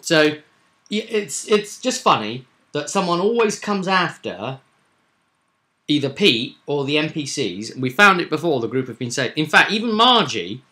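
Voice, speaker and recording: A man's voice: a little high, sing-song, normal speed, pushed and bright. A man hosting a vidcast as game master, chatting casually and animatedly to viewers as he rambles through a roleplay session recap.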